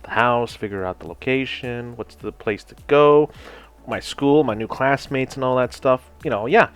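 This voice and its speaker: A man's voice: lowish voice, fairly bright tone, clear, resonant tone. A young man from Hawaii casually telling a personal story over gameplay, rambling with lots of "you know" and "like" and some swearing. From Hawaii, United States. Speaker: gaming channel host.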